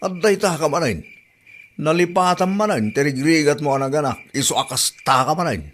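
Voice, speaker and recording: A man's voice: fairly high pitch, a little fast, a bit bright, a little hiss or hum, lightly acted. A man playing an exasperated, stubborn husband and new father with an Ilocano Filipino accent in a radio comedy drama. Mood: reproachful.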